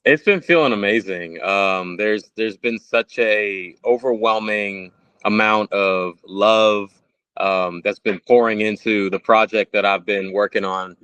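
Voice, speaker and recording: A man's voice: on the low side, a little slow, fairly bright tone, smooth, no room sound. A man in his thirties, a musician guest talking casually in a podcast interview. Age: 30s. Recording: slightly dull, lo-fi audio.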